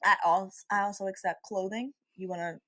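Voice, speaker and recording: A woman's voice: mid-range voice, steady tone, normal speed, sharp tone. A young adult woman co-hosting a casual podcast, playful and teasing as she leads a question game. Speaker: podcast co-host. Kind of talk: casual chat.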